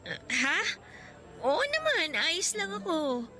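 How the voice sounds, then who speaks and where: An actress playing a shy, self-doubting young woman contract worker in a radio drama, speaking softly and hesitantly. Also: very high-pitched, sing-song, takes it slowly, faint music behind, exaggerated voice. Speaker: Karen.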